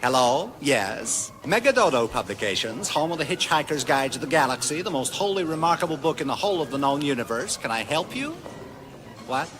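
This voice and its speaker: An actor playing a too-cool publishing office receptionist in a radio comedy, breezy and offhand on the phone. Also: male voice, fairly high pitch, very animated, fairly quick, over loud music. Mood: blasé.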